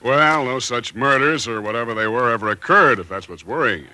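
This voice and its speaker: An actor playing a skeptical, amused friend in a 1940s radio drama, breezy and dismissive, laughing things off. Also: male voice, mid-range voice, expressive, a little fast, pushed and bright, rough recording.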